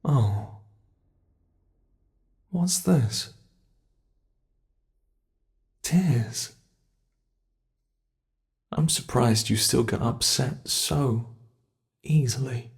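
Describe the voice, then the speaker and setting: A British man voicing a cruel male monster who keeps a human captive, taunting in a slow, mocking way for an ASMR horror roleplay. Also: medium pitch, animated, creaky, heavily acted. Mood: gloating menace.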